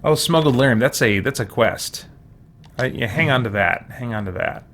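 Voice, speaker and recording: A man's voice: medium pitch, animated, normal speed, a bit bright. A man streaming a video game, giving casual let's-play commentary and thinking aloud in rambling, chatty asides. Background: video game music.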